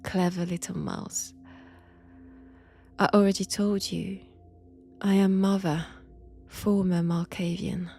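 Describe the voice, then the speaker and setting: A woman playing a mad, possessive young vampire in a roleplay audio, with a French accent and a slow, hushed, intimate delivery that often slips into whispers. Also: on the low side, little pitch movement, gravelly, soft background music, full character voice. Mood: teasing, secretive.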